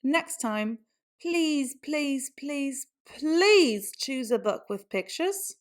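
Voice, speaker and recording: A woman's voice: high-pitched, animated, very slow. A woman reading a children's book aloud in a theatrical storytelling style, with exaggerated exclamations.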